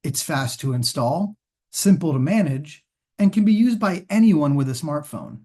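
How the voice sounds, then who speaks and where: Higher-voiced man narrating corporate training. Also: lively intonation, normal speed, darkish tone. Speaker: partner team trainer presenting sales training.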